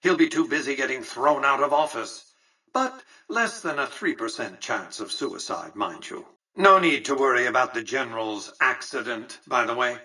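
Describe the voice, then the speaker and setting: An actor playing a cold, calculating mastermind in a video game, speaking in a precise, aloof and self-assured way. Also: male voice, medium pitch, lively intonation, bright, forceful tone, slightly rough, distant mic, heavily acted. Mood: coldly smug. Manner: precise, aloof, grandly measured. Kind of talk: video game character lines.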